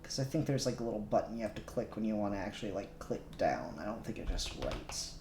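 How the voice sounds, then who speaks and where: A man's voice: on the low side, expressive, fast talker, background noise. A man chatting casually as he hosts a live stream while working. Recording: roomy echo, music underneath. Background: music.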